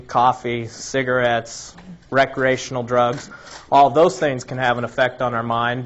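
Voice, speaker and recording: A man lecturing to a room in a slightly raspy, mid-pitched voice, working through his points one item at a time with frequent restarts. The recording is dull and lo-fi. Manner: explanatory, lists items one by one, frequent restarts. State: slightly raspy from a recent cold. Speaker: health presenter. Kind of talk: informal lecture to a live audience.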